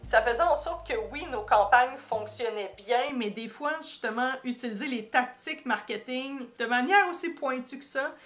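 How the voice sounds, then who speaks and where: A woman's voice: high-pitched, normal speed, few pauses, fairly bright tone, soft background music. A woman from Quebec with a Québécois accent, hosting a casual explanatory commentary, talking straight to viewers and correcting herself as she goes. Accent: Québécois French. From Quebec, Canada. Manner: conversational, self-correcting, addresses viewers directly. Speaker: YouTube host and marketing commentator.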